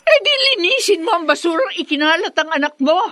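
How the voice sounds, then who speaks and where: A woman's voice: very high voice, expressive, brisk, fairly bright tone, dry recording, studio quality. Fierce, vengeful mother, swooping voice. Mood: angry, accusing. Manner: confrontational, dramatic. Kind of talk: Filipino radio drama acting.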